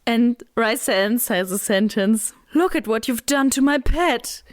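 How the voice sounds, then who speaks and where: A woman's voice: a little high, measured pace. A woman co-hosting a casual book podcast, giggly and self-mocking as she gushes. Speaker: podcast co-host. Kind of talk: casual chat.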